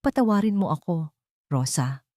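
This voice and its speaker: Lady doing a heartbroken rich husband in a radio drama, low soft voice, holding back grief. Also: expressive, moderate pace, dry recording, lightly acted. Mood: anguished remorse. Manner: restrained, grieving, solemn. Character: betrayed, remorseful husband, a wealthy father.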